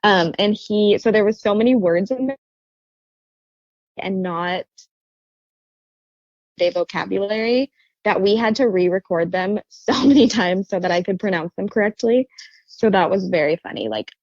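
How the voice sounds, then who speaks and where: Soft-spoken woman with a gravelly edge. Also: mid-range voice, normal speed, pauses often, no room sound, muffled recording. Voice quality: soft, quiet voice. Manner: hesitant, many fillers and restarts. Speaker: author and guest. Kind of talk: casual interview chat.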